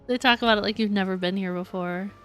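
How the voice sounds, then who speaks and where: A woman's voice: mid-range voice, steady tone, fast talker, clean tone, soft background music. A woman streaming a video game, chatting casually and giggly with her viewers. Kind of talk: casual livestream chat with viewers.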